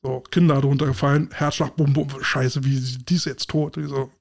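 Ein Mann mit Berliner Akzent, Co-Host eines Podcasts, der im lockeren Gespräch lebhaft Anekdoten erzählt und Dialoge nachspielt. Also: male voice, medium pitch, moderate pace, gravelly. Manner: lively storyteller, acts out dialogue. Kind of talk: casual chat, anecdotes.

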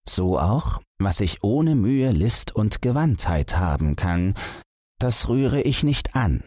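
A man's voice: on the low side, very animated, takes it slowly, darkish tone, no room sound, studio quality, pitched above the performer's own voice, heavily acted. A man voicing a clever grown son who has become a proud master thief. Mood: boastful.

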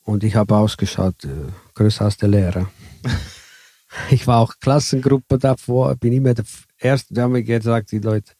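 A man from southern Italy speaking German with an Italian accent, telling his story haltingly as an interview guest. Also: mid-range voice, steady tone, dark tone, no echo. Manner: halting, broken German with many fillers. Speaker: guest, bus driver. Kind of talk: casual interview chat.

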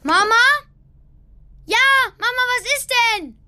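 A woman's voice: very high voice, animated, slow, pushed and bright, slight background noise, exaggerated voice. A woman playing an eager, helpful young boy at play with his toys in a children's radio play. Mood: alert, willing.

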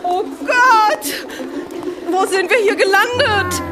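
A woman's voice: extremely high pitch, slow, over a noisy crowd, very noisy, voice pushed up. A young German woman playing a panicked, bossy hotel guest in a comic horror skit, breathless and alarmed. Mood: frantic. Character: panicked young female hotel guest fleeing undead pets.

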